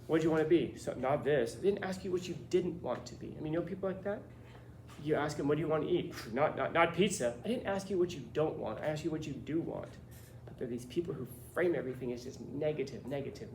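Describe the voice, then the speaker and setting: Blunt man lecturing a classroom. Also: mid-range voice. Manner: blunt, animated, rhetorical questions. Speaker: teacher. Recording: echoey classroom with faint room noise.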